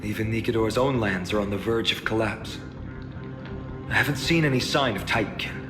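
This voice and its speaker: A man's voice: on the low side, normal speed, lightly acted. A man voicing an earnest, easygoing young warrior hero in a video game, sincere and lightly teasing. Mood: reflective. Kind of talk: video game character acting. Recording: long reverb, music behind the voice.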